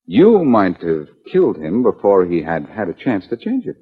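A man's voice: sits low, wildly swooping pitch, moderate pace, husky, full character voice. A man playing a brash, wisecracking amateur detective in a 1940s radio drama, with a wry, needling and assured manner. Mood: needling, cocky. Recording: muffled, dull 1940s radio recording.

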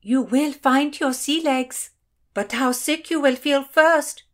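A woman voicing excitable, imperious and teasing court ladies in an audiobook, calling out in shrill exclamations. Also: slow. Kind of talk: audiobook character acting.

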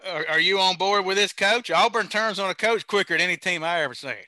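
A man hosts a casual sports podcast with a very bright, high voice. He teases his guests while leading the questions. The sound is dry and close. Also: quick pace, no echo. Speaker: podcast host.

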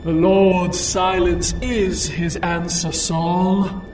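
Echoing, stern old prophet's ghost. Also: male voice, high voice, little pitch movement. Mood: stern, condemning. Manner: solemn, drawn-out, pronouncing. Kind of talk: dramatized Bible story acting. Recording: echoing, distant-sounding voice over loud music.

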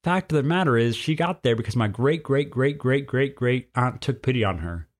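A stern, folksy she-cougar from a storybook, acted out by a male narrator. The voice is lifted in pitch, plainspoken and protective, with homespun country turns of phrase. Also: animated, moderate pace, natural voice. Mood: wistful, explaining. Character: stern, folksy female cougar guarding a secret. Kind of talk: storybook character voice.

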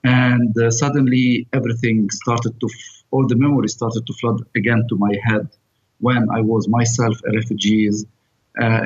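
Male interview guest, middle-aged, Lebanese accent, lowish voice, earnest halting delivery, slightly dull lo-fi audio. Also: husky. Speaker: interview guest, aid director. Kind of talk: conversational interview answers.

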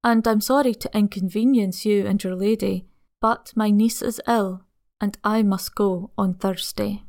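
A man reading aloud and putting on the voice of an old country housekeeper, a placid, superstitious woman with a who speaks slowly and ominously. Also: high-pitched, steady tone, gravelly, pitched above the performer's own voice. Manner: slow, hushed, ominous.

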